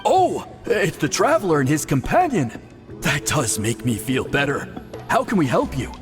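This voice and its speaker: An earnest game priest with a fairly high, very animated voice. He is proud, dramatic and easily flustered, with a long reverb and music behind. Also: moderate pace. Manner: stammering, earnest. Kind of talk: anime-style video game voice acting.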